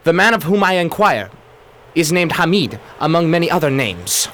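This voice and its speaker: A man's voice: on the high side, big pitch swings, normal speed, a bit bright. A man playing a formal, officious secretary to a governor in a radio drama, easily indignant.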